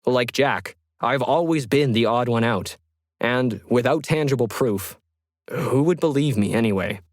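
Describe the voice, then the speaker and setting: A man voicing a solitary, methodical 1950s ham radio hobbyist, reading his journal in a measured, reflective way for an audio story. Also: medium pitch, no echo, clean studio sound, close to an everyday voice. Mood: doubtful, resigned. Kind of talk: scripted first-person journal narration.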